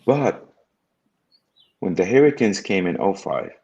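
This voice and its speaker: A middle-aged man from Egypt with a somewhat low voice talks as an interview guest. He is emphatic, repeats key phrases and keeps checking in with "okay?" as he tells his story. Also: sing-song, measured pace, creaky, mellow, slightly rough, lo-fi sound.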